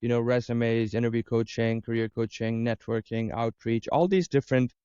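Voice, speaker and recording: A man's voice: mid-range voice, fairly even intonation, normal speed, dark tone, clean tone, no echo, lo-fi sound. A man from Dubai answering interview questions as a career coach, talking conversationally and explaining with lists of examples. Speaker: interview guest, career coach and business owner.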